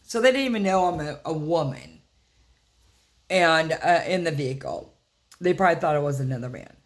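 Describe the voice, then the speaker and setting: Older woman vlogger, deep rough voice, rambling casual storytelling, lots of fillers. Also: animated, normal speed. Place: inside a house.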